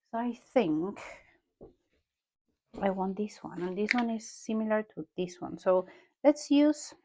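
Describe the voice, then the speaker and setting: Slow-talking Peruvian woman musing while painting. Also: mid-range voice, warm tone. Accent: Peruvian Spanish. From Peru. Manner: musing aloud while painting, unhurried and self-correcting. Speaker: art journal creator. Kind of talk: casual art tutorial narration.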